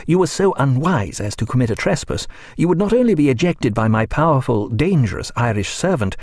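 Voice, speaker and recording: A man voicing a reserved ship's doctor who speaks in a formal, measured, stern way. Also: medium pitch, a little mellow, no room sound.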